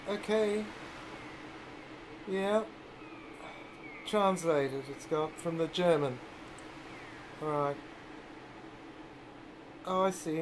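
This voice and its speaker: A woman plays a weary archive researcher in a serial audio drama. The voice is on the low side and very slow. Phrases come out haltingly and get repeated, as if being read from broken fragments. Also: background noise. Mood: weary, baffled.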